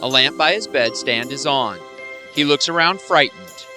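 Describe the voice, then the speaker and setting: A man's voice: mid-range voice, animated, normal speed, music in the background. A man reading scripted dramatic narration for a radio play, describing scenes as they unfold.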